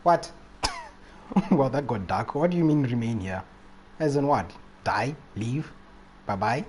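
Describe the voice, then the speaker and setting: A man's voice: mid-range voice, animated, slow, darkish tone, slight background noise. A man doing casual live game commentary and chatting with viewers in a playful, rambling, reactive way. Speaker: game livestreamer.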